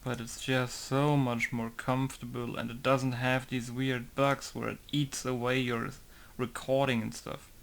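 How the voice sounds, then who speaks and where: A man's voice: mid-range voice, unhurried, creaky, few pauses. A young man, a game modder chatting casually while testing things on screen. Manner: relaxed, chatty, thinking aloud while testing. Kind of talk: casual screen-recorded commentary.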